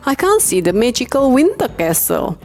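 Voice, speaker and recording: A woman voicing an eager, excitable little girl pig from a children's storybook. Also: on the high side, normal speed, voice pushed up. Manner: eager, bright-eyed questioning.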